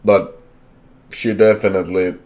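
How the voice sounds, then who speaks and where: Deep-voiced young man, halting and candid. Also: fairly even intonation, mellow. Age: young adult. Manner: halting, hesitant, self-correcting, candid. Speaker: vlogger. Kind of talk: personal life update.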